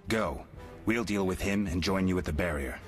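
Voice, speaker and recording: An actor playing a stoic, world-weary monster hunter in a video game, speaking in a terse, low growl. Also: male voice, moderate pace, soft background music, natural voice. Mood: calm, dry. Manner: terse, flat, understated.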